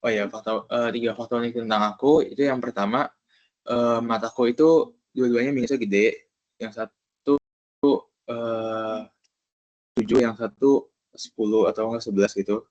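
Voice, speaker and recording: A young man from Jakarta introduces himself informally as a student. His medium-pitched voice is a bit hesitant, with dry, slightly dulled call audio. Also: fairly even intonation, normal speed, pauses often, darkish tone, no room sound, muffled recording. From Jakarta, Indonesia. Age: young adult.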